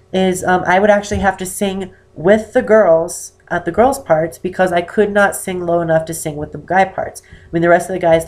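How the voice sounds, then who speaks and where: Young guy, 18, voice really high for a man, kinda strained from damaged cords, lots of ums, chatting to camera in his room. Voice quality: unusually high-pitched voice for a man. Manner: rambling, with many "um"s and self-corrections. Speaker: vlogger. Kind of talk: casual personal talk to camera. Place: indoor room with poor lighting.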